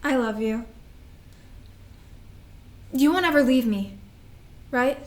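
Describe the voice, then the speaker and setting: A woman's voice: high-pitched, slow pace. A woman in a staged play reading, playing a needy, anxious teenage younger sister with a plaintive, questioning way of talking.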